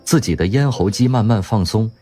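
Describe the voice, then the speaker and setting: A man's voice: on the low side, fairly quick, mellow, faint music behind. A man narrating a radio drama in a storytelling manner, with wry asides. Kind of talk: scripted audiobook-style narration.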